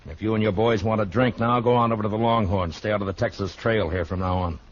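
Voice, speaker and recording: Man playing a low, steady American western marshal. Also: natural voice. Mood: firm. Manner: measured, authoritative. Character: calm, firm male frontier town marshal. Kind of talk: 1950s western radio drama acting. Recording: muffled old radio recording, background hiss.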